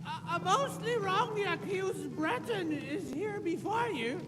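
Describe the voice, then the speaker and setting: A woman's voice: very high-pitched, expressive, a crowd in the background, background noise. A woman voicing a flustered video game companion who stammers through grand, rambling speeches. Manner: stammering, halting, grandly wordy. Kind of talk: video game character dialogue. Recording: distant, echoing game audio under loud music.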